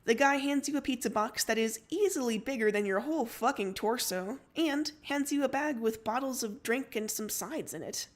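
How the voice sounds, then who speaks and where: A woman reading a story aloud as narrator, in an expressive storytelling style. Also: fairly high pitch, normal speed, hardly any pauses. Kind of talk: expressive fiction reading on a livestream.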